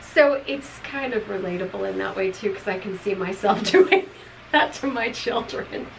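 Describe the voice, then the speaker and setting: A woman's voice: medium pitch, normal speed, gravelly, background noise. Middle-aged lady rambling about a book she liked, laughs a lot, home room w/ bookshelves. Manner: chatty, rambling, laughs often. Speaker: book club co-host. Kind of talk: casual book review chat.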